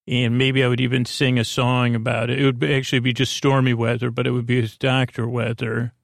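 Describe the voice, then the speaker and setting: Man, creaky gravelly voice, soothing, meandering bedtime monologue, podcast host, very dry close sound. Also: mid-range voice, little pitch movement, fairly quick, no echo. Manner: lulling, soothing, meandering with tangents. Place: small closet.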